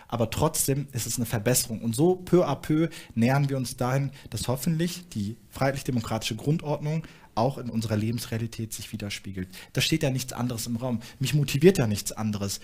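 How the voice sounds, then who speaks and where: Man, young adult, husky, earnest, explanatory, panel discussion. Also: medium pitch, a little mellow. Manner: earnest, explanatory, frequent fillers. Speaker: panel guest, activist. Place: studio stage with small audience.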